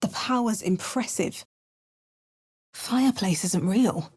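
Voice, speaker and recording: A woman's voice: medium pitch, slow pace, a little mellow, breathy edge, dry recording, clean studio sound. A woman voicing an adventurer's lines in a video game, with wry, understated asides and narration. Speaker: video game player character.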